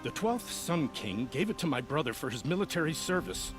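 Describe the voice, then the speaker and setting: A man's voice: on the high side, sing-song, a little fast, music in the background. An actor playing an anxious, proud nobleman in a video game, speaking in a formal, dramatic, earnest way. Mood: sorrowful, earnest. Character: anxious, proud nobleman pleading over family honor. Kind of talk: video game character acting.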